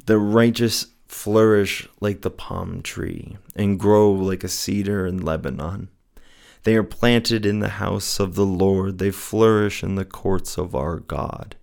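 Soothing, low-pitched man. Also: steady tone, slow, gravelly, darkish tone. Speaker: host of a personal faith show. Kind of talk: casual reflective solo talk with scripture reading.

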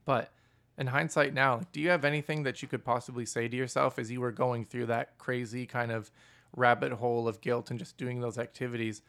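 A man hosting a casual interview, with a booming voice and a loud laugh, asking long, searching questions full of fillers. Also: little pitch movement, brisk, clean tone. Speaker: podcast host.

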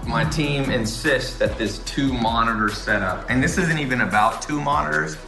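A man hosting a casual motivational talk, blunt and animated, swearing and jumping between topics. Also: mid-range voice, normal speed, gravelly, music in the background. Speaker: host. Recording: echoey room, faint noise.

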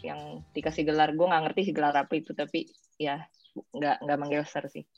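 A young woman with a Jakarta Indonesian accent talks casually as an interview guest. Her voice sits low for a woman, and she drops English phrases into her speech. The audio is dull and lo-fi, with faint music underneath. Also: flat delivery, a little fast, darkish tone, no room sound. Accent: Indonesian, Jakarta colloquial. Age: young adult. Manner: informal, mixes in English phrases. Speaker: guest, former overseas student.

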